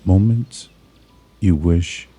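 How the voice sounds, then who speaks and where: A man narrating a scripted guided meditation in a soothing, measured manner, leaving pauses between short phrases. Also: deep voice, warm tone, a little hiss or hum.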